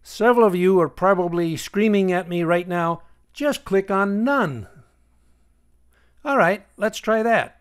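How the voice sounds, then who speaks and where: Male tutorial host, voice a bit high, measured step-by-step screencast narration. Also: clean tone.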